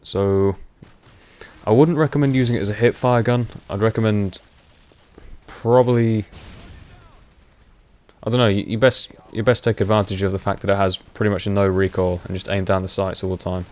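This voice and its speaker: A young man with a fairly low voice talks over his gameplay in a loose, rambling way. He pauses on fillers and laughs often, and his voice is wearing hoarse. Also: steady tone. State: voice going hoarse. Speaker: gaming commentator. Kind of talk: casual gameplay commentary.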